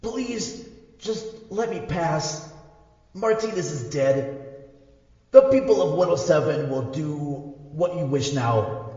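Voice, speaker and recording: A man voicing a weary, dying old priest in a radio play, weak and wheezing, in halting broken English. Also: a little high, lively intonation, warm tone, very echoey, big-room sound, dull audio, voice pushed up. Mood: solemn, weary. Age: older. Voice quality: weak, wheezing, labored breath with coughs.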